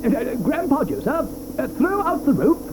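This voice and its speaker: An eccentric chocolatier, lecturing and stammering with a whimsical, chuckling air. His pitch swoops wildly across each line. The 1980s audio drama recording carries a steady hiss. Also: moderate pace, heavily acted. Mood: breezy. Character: eccentric excitable male chocolatier, middle-aged. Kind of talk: 1980s radio drama acting.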